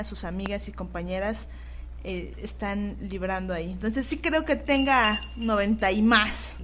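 A woman with a Mexican accent, a cast guest talking casually in a radio discussion. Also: mid-range voice. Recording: clearly noisy radio recording.

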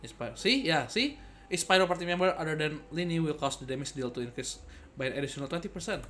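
A man's voice: fairly high pitch, lively intonation, fast talker, a bit bright, a little hiss or hum. A young man giving casual, rambling gameplay commentary, often repeating his words. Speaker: game streamer. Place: indoor room, game music behind.

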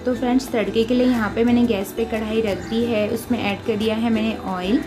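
A woman's voice: fairly high pitch, steady tone, a little fast. A woman with an Indian accent giving step-by-step cooking instructions as a home recipe host, often addressing listeners directly. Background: prominent background music.

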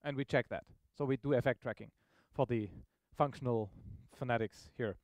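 A man's voice: medium pitch, normal speed, darkish tone, no room sound. A man giving an informal, explanatory live technical demo to an audience, often checking in with questions. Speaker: software presenter. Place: meetup presentation room, on stage.

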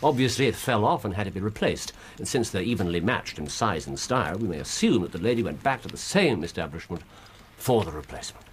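Man doing an eccentric english detective, very animated, precise and self-assured, radio play w/ some background noise. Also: on the low side, fast talker, natural voice. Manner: mercurial, precise, muttering. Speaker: actor playing detective. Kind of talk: BBC radio drama acting.

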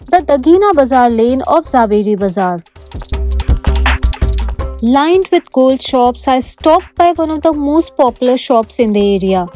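A woman's voice: high-pitched, darkish tone. A young woman with an Indian English accent, presenting a travel vlog from a busy market and narrating over it. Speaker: reporter. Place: busy street market outdoors.

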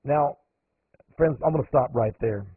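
A man's voice: mid-range voice, a little slow, slightly rough, dry recording. A man hosting a radio monologue, talking emphatically and climbing sharply on the points he stresses. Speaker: radio host. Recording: narrow phone-like radio audio, dry.

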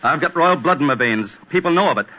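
A nervy, frightened gentleman pleading for help; muffled vintage radio sound. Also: a little high, expressive, quick pace. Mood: anxious. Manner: anxious, breathless, frightened pleading. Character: panicky middle-aged man with a weak heart. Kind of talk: 1940s radio acting. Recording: dull old radio broadcast.

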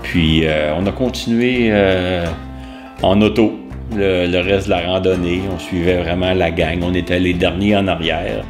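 A man's voice: deep voice, steady tone, unhurried, music in the background. A man with a Quebec French accent casually recounting part of a group ride. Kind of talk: casual recollection.

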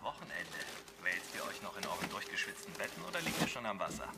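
An actor playing a breezy, upbeat local radio host in a radio play, with punchy, teasing announcer patter. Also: male voice, low voice, very animated, quick pace, creaky, very noisy, exaggerated voice.

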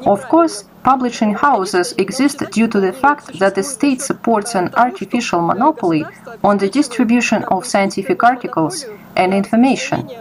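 A woman's voice: mid-range voice, normal speed, low-quality recording. A young adult woman from Kazakhstan giving informal, explanatory answers as an interviewee. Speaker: interviewee, project creator.

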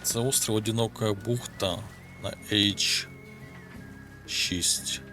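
A man's voice: lowish voice, steady tone, slow pace, pushed and bright. A man with a Ukrainian accent giving casual gameplay commentary, offhand and muttering to himself, swearing casually. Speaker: gaming video host. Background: game music.

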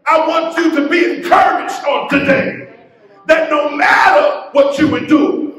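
A middle-aged man preaching a sermon from a church pulpit, building into shouted, half-sung exhortation. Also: extremely high pitch, steady tone, measured pace. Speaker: pastor. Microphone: pulpit mic, filmed by a far camera. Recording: very echoey church from a distance.

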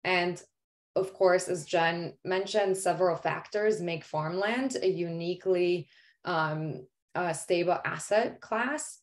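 A woman's voice: lowish voice, slow pace. A woman born in Poland and raised in Greece, giving an earnest artist presentation on a video call, with the odd "um" as she explains. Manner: earnest, explanatory, some hesitant fillers.

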